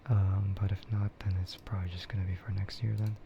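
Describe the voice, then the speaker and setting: A man streaming ASMR in a soft, breathy whisper, chatting casually and unhurriedly in a meandering way that trails off. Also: sits low, flat delivery, fast talker. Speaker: streamer hosting an ASMR livestream. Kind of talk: ASMR, rambling casual chat about games and anime. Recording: muffled lo-fi sound with clear noise.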